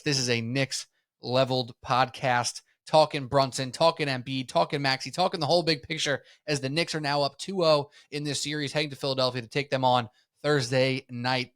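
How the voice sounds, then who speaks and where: A man hosting a sports talk podcast, giving energetic, fast-flowing analysis of a basketball game. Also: mid-range voice, no room sound, clean studio sound. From United States. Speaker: podcast host.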